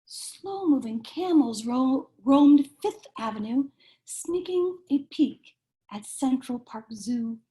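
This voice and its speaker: A woman reading a children's storybook aloud in a measured, expressive storytelling manner. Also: high voice. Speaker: cantor reading a bedtime story.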